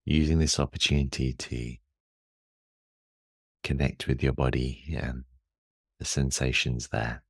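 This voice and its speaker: A man guiding a meditation in a calm, soothing and measured manner, leaving long pauses. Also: very deep voice, no room sound, clean studio sound. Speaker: meditation guide. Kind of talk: guided meditation.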